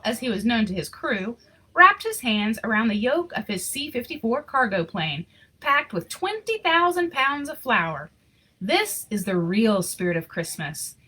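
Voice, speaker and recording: Woman, higher voice, husky edge, storybook read-aloud, informal and expressive. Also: poor audio. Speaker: reader of picture books aloud.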